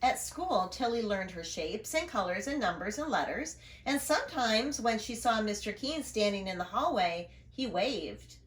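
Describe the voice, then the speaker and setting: A woman's voice: mid-range voice, normal speed, few pauses. A woman reading a children's story aloud expressively, adding little asides about the pictures. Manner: expressive, storytelling to young listeners. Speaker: storybook reader, educator. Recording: roomy, echoing room sound.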